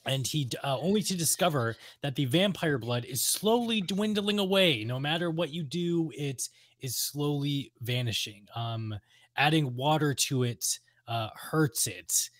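A man's voice: a little high, lively intonation, measured pace, dry recording, clean studio sound. A man co-hosting a podcast, chatting informally and with enthusiasm about games. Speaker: podcast co-host. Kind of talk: casual chat about games and radio plays.

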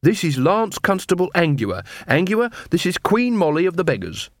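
A man voicing an earnest young city watchman who explains things calmly and matter-of-factly in a story. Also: high-pitched, normal speed, dry recording, clean studio sound, voice pushed up.

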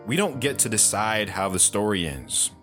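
An actor playing a steady, philosophical friend in an audio drama, speaking in a measured, reflective way. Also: male voice, lowish voice, soft background music. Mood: wistful, philosophical. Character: steady, philosophical male friend giving folksy counsel.

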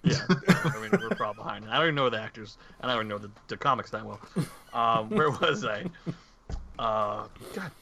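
A man's voice: lowish voice, lively intonation, fairly quick, a crowd in the background. A man in a casual movie discussion as a podcast panelist, speaking in hesitant, meandering phrases. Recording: dull lo-fi mic, faint noise.

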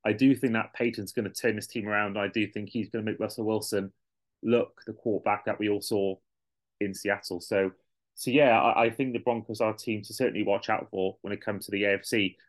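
A man with a fairly low voice speaks as a podcast host. He talks casually about sport, moving between summary and discussion in a conversational way. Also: flat delivery, fairly quick.

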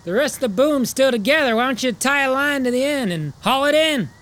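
A man's voice: very high-pitched, animated, brisk, a bit bright. A man playing a bold, blunt, folksy logger from 1890s timber country in a film drama, over ambient noise. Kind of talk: dramatic film script acting.

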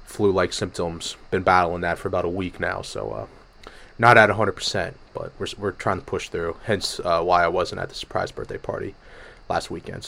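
A man hosting a casual sports betting podcast, hoarse from a cold, talking with energy and swearing freely. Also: sits low. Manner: energetic, profane, jumps between topics. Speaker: podcast host.

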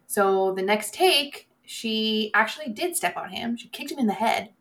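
A woman's voice: on the high side, lively intonation, moderate pace, fairly bright tone. A woman co-hosting a podcast in casual chat, with dry, offhand humour. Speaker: co-host of a horror movie podcast.

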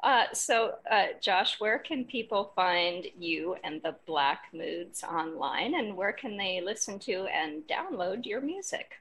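A woman's voice: mid-range voice, lively intonation, slow, hardly any pauses, fairly bright tone, slightly rough. A woman hosting a podcast, handling interview questions and show announcements. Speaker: podcast host.

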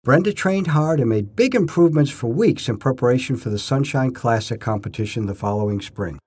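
Husky man reading memoir with lively pitch. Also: fairly quick. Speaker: narrator telling his own memoir stories. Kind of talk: scripted first-person story narration.

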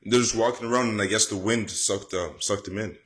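Young man, low-pitched voice, casual podcast chat, laid-back storyteller, repeats himself. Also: steady tone, brisk, a bit bright. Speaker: podcast co-host.

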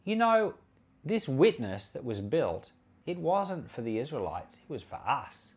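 A man's voice: medium pitch, sing-song, unhurried. A man giving an informal sermon commentary on scripture as a pastor, earnest and conversational, leaning hard on key words. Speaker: pastor and Bible teacher.

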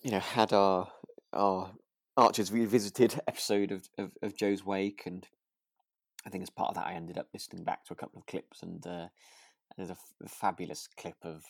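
A British man co-hosting a podcast in relaxed, casual chat, musing and often correcting himself as he goes. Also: low-pitched, normal speed, vocal fry, no room sound, studio quality. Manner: hesitant, musing, self-correcting. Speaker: podcast co-host. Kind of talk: relaxed casual chat about a radio drama.

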